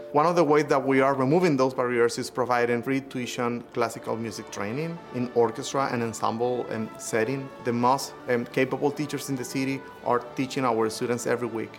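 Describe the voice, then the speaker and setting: Earnest Venezuelan man over music. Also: mid-range voice. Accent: Venezuelan Spanish. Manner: earnest, measured, with occasional hesitations. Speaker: conductor and music educator. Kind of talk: reflective personal testimony. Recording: interview over clear music. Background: instrumental music.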